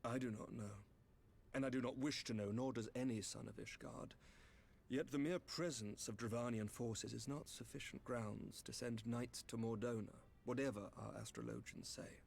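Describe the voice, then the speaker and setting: An actor playing a courteous, grave knight commander in a fantasy game, speaking in formal, measured, archaic phrases with a slightly creaky voice. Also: male voice, mid-range voice, fairly even intonation, darkish tone, a little hiss or hum, natural voice. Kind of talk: scripted fantasy game dialogue.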